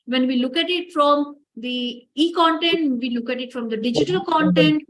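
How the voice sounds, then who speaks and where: A woman's voice: sits high, normal speed, a bit bright, poor audio. A woman giving an online lecture over a video call, earnest and addressing participants directly. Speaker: workshop lecturer.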